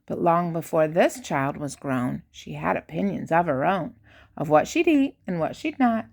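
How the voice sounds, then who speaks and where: An older woman, a grandmother, reads a rhyming children's picture book aloud with expressive, theatrical emphasis on key words. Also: deep voice, vocal fry. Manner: theatrical emphasis, drawn-out key words, dropping to hushed asides.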